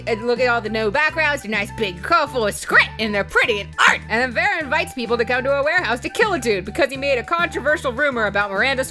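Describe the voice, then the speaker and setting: Bright, high-voiced woman recapping a game. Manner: chatty, sweary, with playful mock voices for quotes. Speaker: let's play host. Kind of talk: casual, joking scripted-game recap narration.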